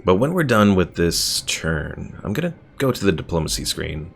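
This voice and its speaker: A man's voice: low-pitched, big pitch swings, normal speed, vocal fry, slight background noise. A man streaming a game with casual live commentary, thinking aloud as he plays. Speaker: gaming streamer.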